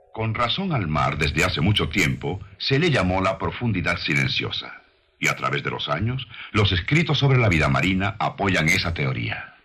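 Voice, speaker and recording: A man narrating a scripted documentary in a solemn, measured, rhetorical manner. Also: sits low, bright, forceful tone, slightly rough.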